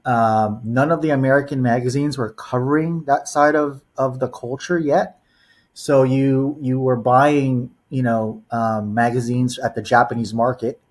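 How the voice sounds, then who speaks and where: A man's voice: mid-range voice, steady tone, unhurried. A man in his forties, raised in Los Angeles, telling stories as a podcast guest with lots of "um" and "you know". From born in the Philippines, raised in Los Angeles. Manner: rambling storyteller, heavy on "you know" and "um". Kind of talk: casual podcast interview.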